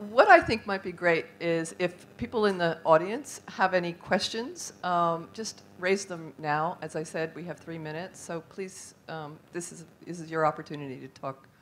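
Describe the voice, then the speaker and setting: A woman moderating a conference panel from a stage table, loud and brisk, hurrying through her closing remarks. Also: fairly low pitch, slight background noise. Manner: loud, brisk, self-deprecating. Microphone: handheld microphone.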